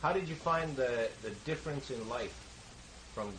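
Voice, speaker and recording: A man's voice: medium pitch, moderate pace, fairly bright tone, rough recording. Man, interviewer, patient prompting questions, faint tape hiss. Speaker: oral history interviewer. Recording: hissy 1970s tape recording.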